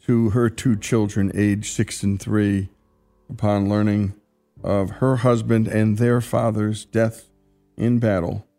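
An American man hosting a radio show, narrating reflectively in a halting, solemn manner. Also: lowish voice, dark tone.

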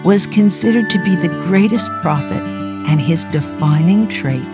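A woman's voice: lowish voice, measured pace, loud music behind the voice. A middle-aged woman hosting a podcast, giving an earnest, emphatic spiritual talk. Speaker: podcast host and teacher.

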